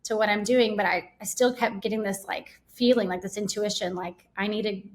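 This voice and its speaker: A woman, a podcast guest telling her story in a casual, animated way. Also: medium pitch, a little fast. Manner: animated storytelling with quoted asides. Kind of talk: casual interview chat.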